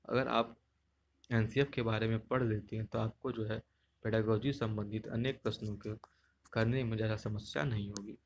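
A man's voice: on the low side, fairly even intonation, moderate pace, a little hiss or hum. A man with a Hindi accent teaching an exam-prep lesson over slides. He explains patiently, repeats his points and checks in with the listener. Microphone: screen recording, unseen speaker.

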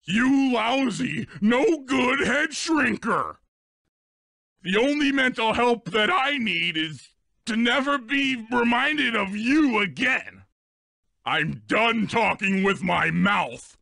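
A man's voice: very high-pitched, expressive, slow, creaky, pushed and bright, no echo, heavily acted. A man playing a big, dim but loyal cartoon hippo strongman in a video game, boastful and childlike, with bombastic delivery. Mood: heroic boasting. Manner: bombastic, speaks of himself in third person.

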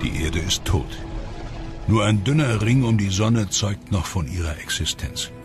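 A man giving calm, measured, scripted narration for a science documentary. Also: lowish voice. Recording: music bed under voice.

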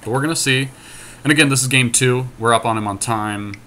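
A man with a bright, forward voice chats casually through a card game. He thinks out loud and teases his co-player, with faint noise behind him. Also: mid-range voice, fairly quick. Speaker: podcast host playing an online card game. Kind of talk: casual game commentary with a friend.

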